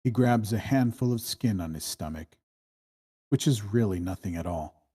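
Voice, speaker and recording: A man's voice: on the low side, expressive, moderate pace, mellow, breathy edge. A man reading out scene directions as narrator at a screenplay table read.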